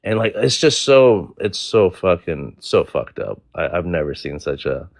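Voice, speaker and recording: A man hosts a casual live-stream panel discussion. His voice sits low, and he rambles with an exasperated edge and frequent restarts. The sound is dry and close, with no room around it. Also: big pitch swings, measured pace, gravelly, no room sound. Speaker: panel host.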